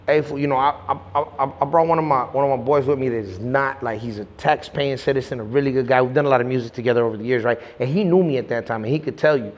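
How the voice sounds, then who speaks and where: A man's voice: medium pitch, quick pace, few pauses, muffled recording. Young guy rambling his story, slangy, lots of restarts, echoey room. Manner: rambling, slangy, many restarts and "you know what I'm saying". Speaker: guest. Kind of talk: casual storytelling interview.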